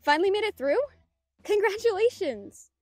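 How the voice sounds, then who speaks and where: Woman acting a high-pitched mischievous prankster friend. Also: animated, normal speed, a little mellow. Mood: bubbly. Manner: gleefully teasing. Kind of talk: audio drama acting.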